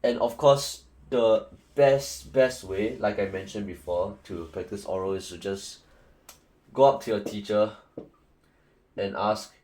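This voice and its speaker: A man's voice: on the low side, steady tone, unhurried, darkish tone, poor audio. A young man from Singapore with a Singaporean English accent, giving casual, rambling study advice full of fillers and restarts. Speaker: student tutor.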